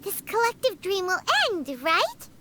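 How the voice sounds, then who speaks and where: A woman voicing a chirpy, excitable, childlike little fairy companion in a video game, with a squeaky, sing-song voice. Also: a little slow, very noisy. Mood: excited. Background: game music.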